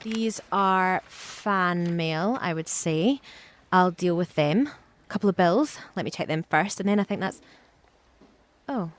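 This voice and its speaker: A woman playing a calm, efficient secretary with a practical, soothing manner in an audio drama. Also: fairly low pitch, slow pace. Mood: businesslike. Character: calm, efficient, discreet female secretary, loyal and reassuring.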